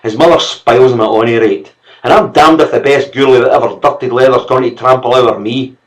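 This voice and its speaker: A man's voice: medium pitch, a little fast, natural voice. A man voicing a sullen, blustering male servant with a Scots accent. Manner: bawling, blustering.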